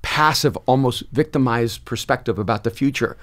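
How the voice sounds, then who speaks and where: A man in his sixties, born in El Salvador, speaking as a pastor and author guest on a podcast. He tells stories reflectively, with quick bursts of speech that slow down for emphasis. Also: medium pitch, fairly bright tone. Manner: expansive, gestures a lot, quick bursts then slow emphasis. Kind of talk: reflective conversational storytelling. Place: dark podcast studio. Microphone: studio mic on a boom, close.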